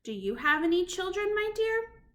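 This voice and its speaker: A woman's voice: very high voice, very animated, moderate pace, voice pushed up, heavily acted. A woman doing a fond elderly grandmother. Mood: composed. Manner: warm, affectionate. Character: loving, composed elderly grandmother.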